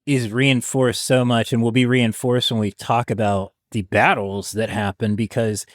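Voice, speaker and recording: A man's voice: medium pitch, level tone, moderate pace, no room sound, clean studio sound. A man guesting on a history podcast, talking in a thoughtful, hedging, conversational way.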